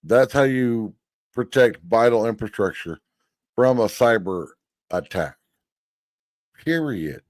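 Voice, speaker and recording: A man's voice: lowish voice, lively intonation, takes it slowly, long pauses, a little mellow, no echo. A man in his fifties giving blunt, folksy commentary, now and then swearing. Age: 50s. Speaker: commentator reacting to a hearing. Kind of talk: casual commentary over a clip.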